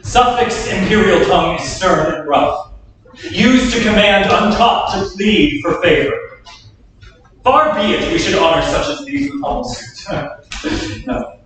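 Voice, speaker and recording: A man's voice: high voice, fairly bright tone, slight background noise, heavily acted. A man playing a proud, defiant male nobleman in a staged reading of Shakespeare, delivering stately verse. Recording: echoey room, far from the mic.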